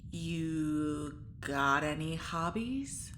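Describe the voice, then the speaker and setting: A woman's voice: low voice, big pitch swings, very slow, background noise, exaggerated voice. A woman acting in a naturalistic audio drama as a blunt, unimpressed character with dry wit. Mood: blunt, needling.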